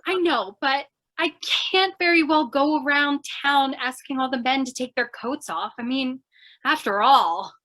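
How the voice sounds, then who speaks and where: Scatterbrained girl reporter, bright high voice, theatrical, earnest, chatty, radio crime comedy. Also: a little slow. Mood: fretful, chatty. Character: scatterbrained, chatty, eager young lady reporter. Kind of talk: old-time radio crime comedy acting.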